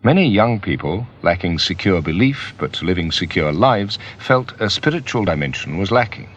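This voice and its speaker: A man's voice: low-pitched, animated, normal speed, a bit bright. A man reading scripted documentary narration. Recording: dull, muffled documentary soundtrack.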